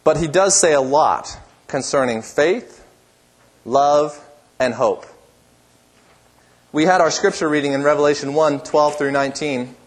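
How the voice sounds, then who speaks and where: A pastor delivering a sermon in a church with a strong, powerful voice and an emphatic, repetitive preaching cadence. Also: male voice, on the high side, unhurried, long pauses.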